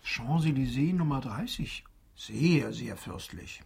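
A man's voice: medium pitch, lively intonation, takes it slowly, vocal fry, darkish tone, slightly rough, full character voice. A man acting an eager, talkative young viscount in a German radio drama, with urbane, courteous manners. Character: young Parisian viscount, male, eager, chatty, gallant son.

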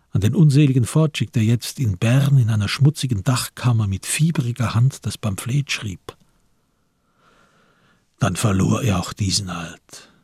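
Male radio-drama narrator, very husky and low, measured literary delivery with deliberate pauses. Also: no echo, studio quality. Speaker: narrator of a radio crime play.

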